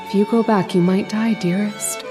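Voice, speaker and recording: A woman's voice: mid-range voice, fairly even intonation, normal speed, over music, natural voice. A woman playing a possessive, adoring dream lover, tender, coaxing and insistent, in an old-time radio horror drama. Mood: coaxing, ominous.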